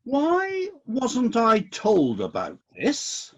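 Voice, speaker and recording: Man playing a high-voiced, husky, indignant God. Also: wildly swooping pitch, drawn-out words, fairly bright tone, full character voice. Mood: incredulous, dismayed. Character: God, disillusioned but decisive ruler of heaven. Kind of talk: radio play acting.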